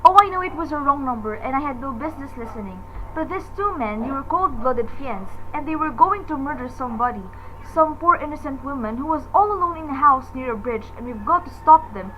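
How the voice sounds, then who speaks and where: A woman's voice: sits high, animated, brisk, pushed and bright, slight background noise, rough recording. A woman playing a frail, nervous housewife in a radio drama, agitated, imperious and melodramatic. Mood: agitated, indignant. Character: frail, nervous, demanding housewife alone at night.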